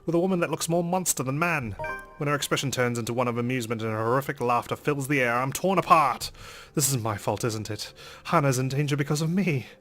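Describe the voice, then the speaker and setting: Casting: male gamer narrating a visual novel, theatrical read, medium pitch, game music underneath. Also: quick pace, fairly bright tone. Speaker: let's player.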